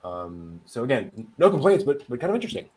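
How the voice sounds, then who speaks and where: Man hosting with animated, swooping pitch. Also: fast, crowd noise. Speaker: host. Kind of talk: casual anime news discussion.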